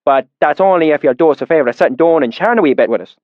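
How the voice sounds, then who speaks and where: A man playing a young man laying on a folksy fake rustic dialect, friendly and ingratiating, with a lively up-and-down delivery. The sound is dry and somewhat muffled. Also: a little high, very fast, no room sound, voice pushed up, natural voice.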